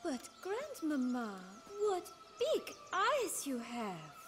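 Game casting: fairy-tale granddaughter, high mock-innocent voice, extremely slow sing-song, swooping theatrically. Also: female voice, wildly swooping pitch, heavily acted. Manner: exaggerated sing-song, drawn-out. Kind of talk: video game fairy-tale play-acting. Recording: music under the voice.

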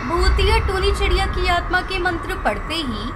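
A woman's voice: extremely high pitch, animated, normal speed, heavy background noise. A woman reading a scripted children's story as the narrator. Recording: loud background music under voice. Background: loud music.

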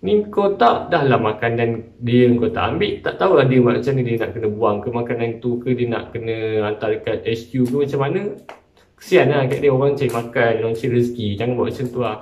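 A man's voice: mid-range voice, fast, a little mellow, distant mic, highs cut off. A young Malaysian man gives casual commentary to camera in an informal, admonishing way. He tends to repeat his points. His voice sits a little away from the microphone in an echoey room. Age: young adult. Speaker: vlogger. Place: small bare-walled room indoors.

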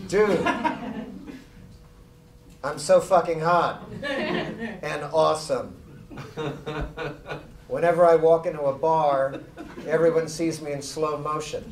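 Casting: male comic reader, highish pitch, roomy live sound a little off the mic. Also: takes it slowly, long pauses, a little hiss or hum. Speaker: guest reader at a book party. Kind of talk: live comedic reading from a book. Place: indoor room at a live party. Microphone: microphone on a lectern stand. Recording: roomy live event, loud laughing audience, distant mic. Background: loud audience laughter.

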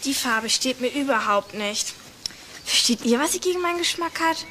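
A woman's voice: high-pitched, animated, moderate pace, background noise. An actress playing a spoiled, bossy young female film star, whiny and haughty, in a German children's drama.

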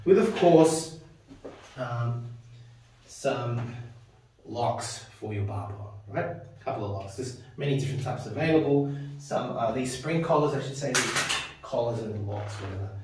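A man in his thirties talks casually about his home gym setup, chatty and rambling, often ending with "right?". The voice is mid-pitched and heard from a distance, with strong room echo and a clear layer of background noise. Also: a little mellow. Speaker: fitness video host. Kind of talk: casual equipment walkthrough. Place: small home gym with power rack, indoors. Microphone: camera mic from a distance. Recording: very echoey room, camera far off.

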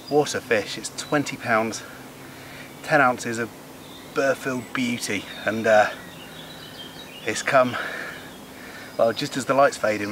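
Low-voiced man, outdoors. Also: fairly bright tone. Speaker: fishing vlogger. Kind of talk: casual chat to camera. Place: outdoors on a wooded lake bank. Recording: outdoor nature noise behind voice.

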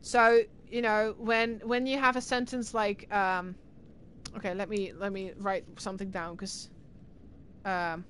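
A woman's voice: mid-range voice, level tone, measured pace, fairly bright tone, a little hiss or hum. A young woman with an Irish accent, chatting casually on a livestream from a room at home, rambling as she answers chat messages. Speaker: streamer.

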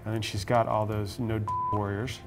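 A man's voice: fairly low pitch, flat delivery, moderate pace, music in the background. A man in his thirties hosting a show with casual, joking banter. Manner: joking, conversational. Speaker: host of a cooking and TV recap show. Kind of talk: casual banter while cooking. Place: studio set at a table, indoors.

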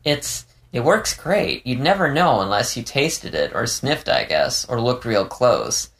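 A man's voice: medium pitch, very animated, unhurried, vocal fry, a bit bright, pitched above the performer's own voice, heavily acted. A man voicing a playful, candid young male fox in an audiobook story, rambling with hesitant stammers.

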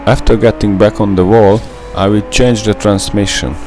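A man's voice: lowish voice, fairly even intonation, moderate pace, over music. A young man with a Hungarian accent, a native Hungarian speaker, narrating a gameplay tutorial in a careful, methodical way. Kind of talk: scripted gameplay voice-over.

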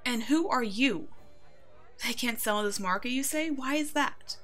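A woman playing a kind, protective werewolf in an ASMR roleplay drama, speaking gently and reassuringly as if to a child. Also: a little high, moderate pace, soft background music, lightly acted. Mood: indignant, protective. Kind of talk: ASMR roleplay audio drama, one-sided dialogue.

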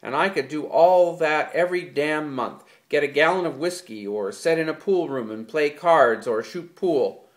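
A man voicing an angry, exasperated ranch hand who rants loudly and bitterly. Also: mid-range voice, expressive, normal speed, natural voice. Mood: furious. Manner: loud, bitter ranting.

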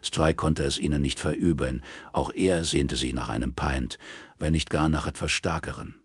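A man reading literary prose as an audiobook narrator in a measured, sober manner. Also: very deep voice, husky, dry recording. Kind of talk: scripted literary narration in German.

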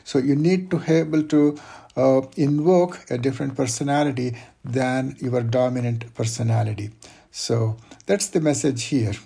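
Male guest expert, mellow husky voice, measured explanatory delivery. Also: medium pitch, fairly even intonation. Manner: measured, explanatory, uses examples. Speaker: guest expert on workplace conflict. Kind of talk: advice in an interview.

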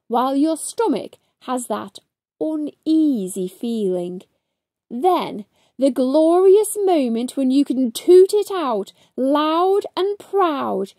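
A woman reading a storybook aloud to children, with theatrical, drawn-out emphasis on key words. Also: high-pitched, mellow, no room sound, clean studio sound. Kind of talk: expressive read-aloud narration for children.

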